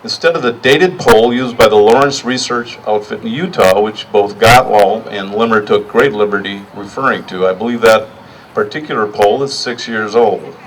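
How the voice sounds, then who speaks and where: A middle-aged man giving a partly improvised rally speech in a deliberate, plainspoken way, pausing for emphasis. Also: on the low side, recorded from a distance, a little hiss or hum, poor audio. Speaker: rally speaker.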